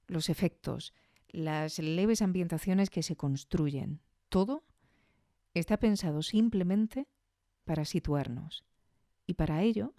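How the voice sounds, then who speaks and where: Mujer española de voz grave y clara, seca. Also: female voice, fairly low pitch, gravelly, dark tone, dry recording, studio quality. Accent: Spain Spanish. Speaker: podcast host. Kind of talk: informal spoken review of audio fiction.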